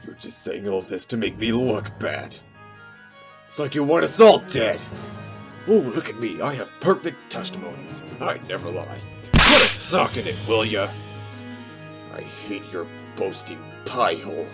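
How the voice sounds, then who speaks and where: A man playing a gruff, boastful wolf witness with a sneering, blunt manner in an audio drama. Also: low voice, moderate pace, few pauses, music in the background, lightly acted. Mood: angry, sneering. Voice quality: gravelly. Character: gruff, hot-tempered, boastful, insulting male wolf witness. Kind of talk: amateur audio drama acting.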